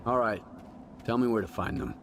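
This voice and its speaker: An actor playing a terse, no-nonsense loner stalker in a video game, curt and blunt. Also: male voice, medium pitch, moderate pace, fairly bright tone, slight background noise, lightly acted.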